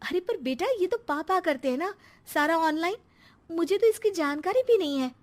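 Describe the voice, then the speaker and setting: A woman's voice: very high voice, expressive, normal speed, voice pushed up. A woman voicing a timid, apologetic, self-blaming housewife and mother in a cartoon story.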